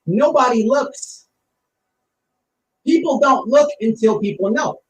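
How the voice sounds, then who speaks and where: Guy with a high swoopy voice ranting casually, blunt and emphatic, echoey room mic. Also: expressive, slow pace. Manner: blunt, emphatic, explains with repeated phrases and examples. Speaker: online streamer giving commentary. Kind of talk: casual opinionated commentary. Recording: roomy, distant mic with faint noise.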